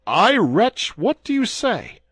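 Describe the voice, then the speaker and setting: A man voicing a young guardsman hero who speaks urgently and earnestly, raised somewhat above his own low register. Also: sing-song, slow, fairly bright tone, husky, no echo, clean studio sound, heavily acted. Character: young male guardsman hero, passionate and alarmed.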